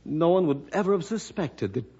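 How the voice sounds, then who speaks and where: An actor playing a stubborn, suspicious man with a gruff, challenging manner in a radio adventure drama. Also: a little high, sing-song, normal speed, warm tone, heavily acted. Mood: puzzled, softened. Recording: dull lo-fi radio recording.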